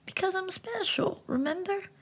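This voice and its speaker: A woman voicing a small, kind male frog who speaks softly and coaxingly. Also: very high voice, lively intonation, moderate pace, voice pushed up. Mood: tender coaxing.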